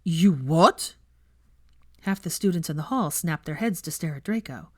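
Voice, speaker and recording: A woman narrates fiction as an expressive audiobook reader. Her voice sits slightly low and moves with animated intonation, captured close and dry. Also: brisk, no echo.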